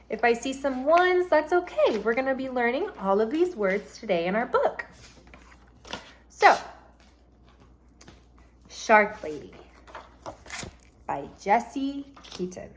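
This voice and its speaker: A woman reads aloud as a teacher would to young pupils, with a lively, swooping intonation. Her pace is slow and deliberate, and her delivery is instructive, made for children following a storybook and learning new words. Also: medium pitch, slight background noise. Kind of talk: storybook read-aloud with vocabulary explanations.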